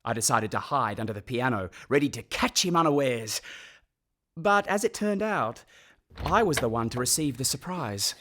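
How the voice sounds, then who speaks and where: A man's voice: medium pitch, animated, normal speed, a bit bright. A man with an Australian accent acting as a wry, solemn narrator looking back on his orphaned boyhood in a radio play. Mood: wry recollection. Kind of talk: first-person scripted radio play narration.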